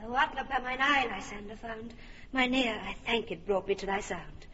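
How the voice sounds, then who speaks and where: A woman's voice: on the high side, normal speed, pushed and bright, big-room sound, lo-fi sound. An actress playing a bewildered, hurt young woman in a classic radio drama, puzzled and protesting.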